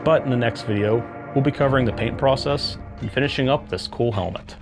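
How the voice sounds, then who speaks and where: A man's voice: mid-range voice, brisk, over music. A man presenting a cosplay build in casual, explanatory narration. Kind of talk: casual tutorial narration with comedic skits.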